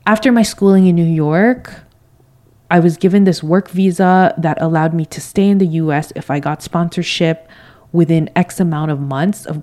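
A woman's voice: sits low, a little mellow. A woman in her thirties from Toronto with a Canadian accent, hosting a solo podcast in a casual, candid, slangy way. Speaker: podcast host. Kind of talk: solo podcast monologue, conversational.

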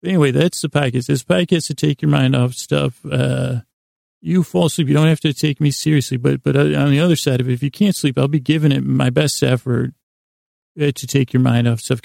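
A man hosting a bedtime podcast in a meandering, halting monologue, self-deprecating and silly, repeating and playing with words. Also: mid-range voice, vocal fry, a little mellow, dry recording, clean studio sound. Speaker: podcast host.

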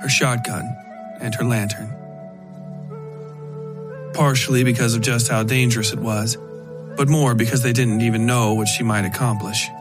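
A man narrating a scripted dark fiction story for a podcast. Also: medium pitch, steady tone, moderate pace, long pauses, music in the background.

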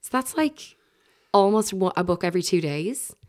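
A woman's voice: medium pitch, lively intonation, normal speed, darkish tone. An Irish woman hosting a podcast in casual, chatty talk, with lots of fillers and restarts. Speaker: podcast host. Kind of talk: casual chat.

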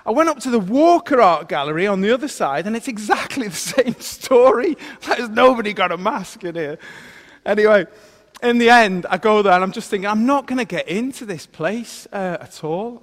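High-voiced preacher in an echoing hall. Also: male voice. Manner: animated, self-deprecating storyteller. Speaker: former vicar giving a talk. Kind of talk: sermon with a personal anecdote.

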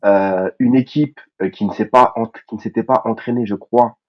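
A man co-hosting a casual football podcast, speaking in a measured way and repeating words for emphasis. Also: on the low side, highs cut off. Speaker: podcast co-host.

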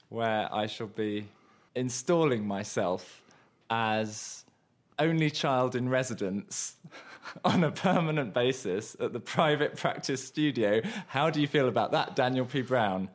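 A man's voice: medium pitch, takes it slowly. A man co-hosting a podcast in casual chat, speaking with a cold and sore throat, teasing dryly and chuckling often. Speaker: podcast co-host.

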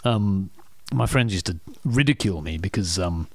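Lively-voiced man laughing at himself. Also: low voice, normal speed, dry recording. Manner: self-deprecating, laughs often, many restarts. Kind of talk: casual conversational storytelling.

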